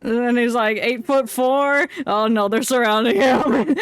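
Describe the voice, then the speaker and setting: A woman's voice: on the high side, measured pace, clear, resonant tone, no echo. A woman game streamer giving casual, joking, irreverent live commentary. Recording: faint game music behind. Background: video game music.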